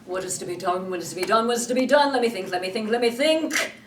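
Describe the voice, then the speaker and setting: A woman's voice: mid-range voice, expressive, quick pace, roomy sound, distant mic, background noise, lightly acted. A woman voicing a menacing, blustering pirate captain in a storybook reading. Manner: blustering, theatrical.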